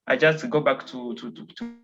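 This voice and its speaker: Hesitant Congolese student on a muffled call. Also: male voice, mid-range voice, steady tone, normal speed, fairly bright tone. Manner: hesitant, many fillers. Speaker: attendee, student. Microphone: video call. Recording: dull video-call audio.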